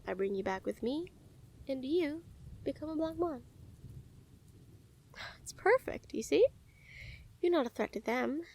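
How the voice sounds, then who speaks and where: A woman playing a calm, menacing yet smitten assassin in an intimate audio roleplay, speaking softly and teasingly with deliberate pauses. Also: high-pitched. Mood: smitten, confiding. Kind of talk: intimate scripted audio roleplay addressed to the listener.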